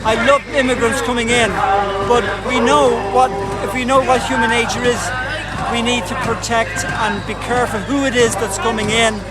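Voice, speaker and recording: Older man, high voice, shouting over a protest. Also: level tone, moderate pace, poor audio. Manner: earnest, emphatic, raising his voice over the noise. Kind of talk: street interview. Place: outdoor street protest. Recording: loud crowd and music at an outdoor protest.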